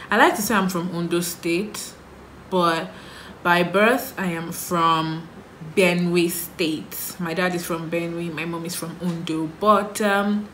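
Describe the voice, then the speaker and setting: A Nigerian woman in her thirties talks unhurriedly to her camera, answering her own questions in a relaxed, musing way. Her voice sits a little low. The small room around her echoes, with a faint hiss underneath. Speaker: makeup vlogger. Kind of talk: casual get-to-know-me video. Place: small indoor room, sheet backdrop.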